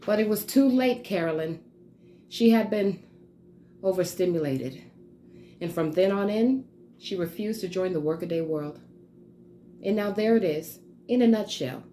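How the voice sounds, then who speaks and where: A woman's voice: mid-range voice, lively intonation, normal speed, mellow, slight background noise, lightly acted. A woman playing a stern, imperious society mother lecturing her daughter in a theatrical monologue, with clipped emphasis. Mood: scandalized, emphatic.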